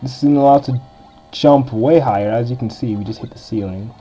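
A young man with a very dark, mellow, deep voice chats loosely over a lo-fi recording, faint game music under him. He rambles with lots of "like" and little asides as he plays. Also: a little fast. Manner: chatty, rambling, filler-heavy. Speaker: game walkthrough host. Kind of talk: casual let's-play commentary.

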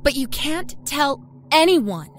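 A woman's voice: high-pitched, big pitch swings, slow, faint music behind, pitched above the performer's own voice, heavily acted. A woman voicing a sly young schoolgirl, a manipulative liar with an exaggerated, sugary coaxing manner, in an audio horror story. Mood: coaxing, confiding.

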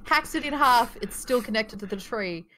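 A woman's voice: fairly high pitch, lively intonation, moderate pace, sharp tone, a little hiss or hum, rough recording. A woman reading stage directions as narrator of a table read on a group video call, in a dramatic storytelling manner. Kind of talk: scripted narration read aloud.

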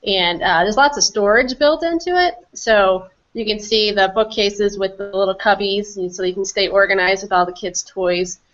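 A woman's voice: mid-range voice, normal speed, hardly any pauses, fairly bright tone. A middle-aged woman casually explaining a picture as a guest on a video call. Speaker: company quality executive, interview guest. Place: small office room, indoors. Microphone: computer mic on a video call. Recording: dull, lo-fi video call audio.

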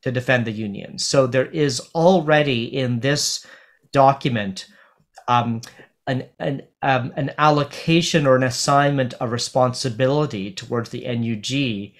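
A middle-aged man speaking on a video call as a panel speaker, talking through a legal text in a halting way with many fillers and restarts. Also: medium pitch. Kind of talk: academic panel talk. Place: home office on video call. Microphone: webcam on video call.

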